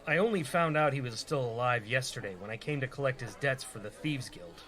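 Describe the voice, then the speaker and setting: Guy doing a fed up shifty rogue, medium pitch, reluctant, radio comedy banter. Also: lively intonation, a little fast, a crowd in the background, close to an everyday voice. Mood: defensive. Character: exasperated, evasive male rogue, reluctant and pragmatic. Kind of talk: comedy radio play acting.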